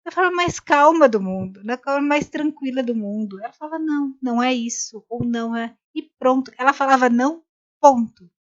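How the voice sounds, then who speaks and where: A woman's voice: high-pitched, a little fast, dull audio. A woman co-hosting a live conversation, telling a story in a rambling way with many restarts. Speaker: co-host of a live talk. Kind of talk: casual chat.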